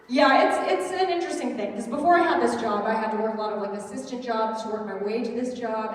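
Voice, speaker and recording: A young woman performs stand-up with a loud, chatty voice that keeps poking fun at herself. Her voice sits a little high. It carries through a big, reverberant hall and is picked up from some distance away. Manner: loud, chatty, self-mocking. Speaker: stand-up comedian. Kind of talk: stand-up comedy set. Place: large hall at a culture show. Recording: echoey hall, mic heard from far off.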